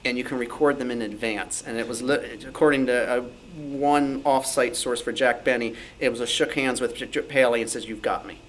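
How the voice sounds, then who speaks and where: A man's voice: medium pitch, fairly quick, few pauses, fairly bright tone, slight background noise. A man informally telling detailed anecdotes in a knowledgeable way at a group discussion. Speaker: club discussion participant.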